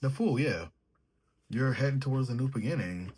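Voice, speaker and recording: A man with a mellow, sleepy way of talking, reading tarot cards and thinking aloud as he draws them. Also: mid-range voice, animated, normal speed, a little hiss or hum. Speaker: tarot reader. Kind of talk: solo tarot reading, casual chat.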